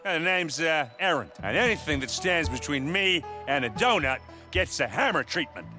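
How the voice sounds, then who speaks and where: An actor playing a boisterous, simple, food-loving warrior with a blunt, goofy manner in a video game. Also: male voice, on the high side, expressive, moderate pace, natural voice. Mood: casual, brash. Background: music.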